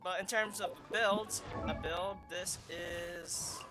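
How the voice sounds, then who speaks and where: High-voiced man doing casual game commentary. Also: fairly even intonation. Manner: halting, thinking aloud. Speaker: gaming streamer. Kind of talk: casual, unscripted gameplay commentary. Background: game music.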